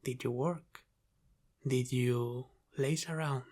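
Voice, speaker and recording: A man playing a teasing, menacing mafia boss with an Italian-American accent in an ASMR roleplay, speaking deliberately and sometimes dropping into a whisper. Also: mid-range voice, fairly even intonation, a little mellow. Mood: teasing, probing. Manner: slow, deliberate, teasing, with breathy sighs and whispered phrases.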